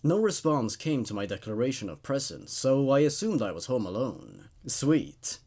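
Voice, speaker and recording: A man's voice: mid-range voice, sing-song, moderate pace, mellow, husky. A man hosting a show where he reads horror stories aloud with dramatic narration and laid-back commentary.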